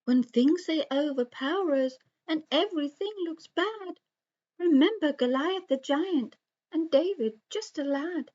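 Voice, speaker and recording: Woman doing a high-pitched wise old male owl. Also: expressive, unhurried, darkish tone, dull audio. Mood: stirring, reassuring.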